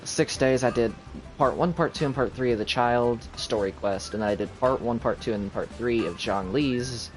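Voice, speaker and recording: A man's voice: medium pitch, moderate pace. A man casually commentating as he streams a game, chatting and muttering to himself. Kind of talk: casual live gameplay commentary. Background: video game music.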